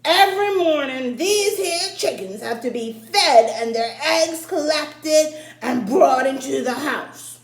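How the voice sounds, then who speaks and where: Woman voicing a brusque old farmer, loud and raised. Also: high voice, expressive, takes it slowly, few pauses, crowd noise, voice pushed up, heavily acted. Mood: stern, commanding. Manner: brusque, commanding, folksy. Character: stern, curt old male farmer in a folktale. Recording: roomy echo, faint background noise.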